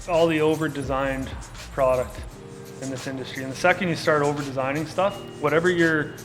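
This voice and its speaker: Middle-aged man from Canada, casual, slightly high voice, documentary interview with music underneath. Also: poor audio. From Saskatchewan, Canada. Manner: relaxed and casual, with many filler likes. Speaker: co-founder and designer.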